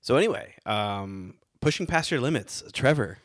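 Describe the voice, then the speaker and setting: A man's voice: medium pitch, animated, moderate pace, no room sound, clean studio sound. A young man hosting a casual podcast, easygoing and rambling with lots of fillers. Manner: laid-back, rambling with many fillers. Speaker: podcast host.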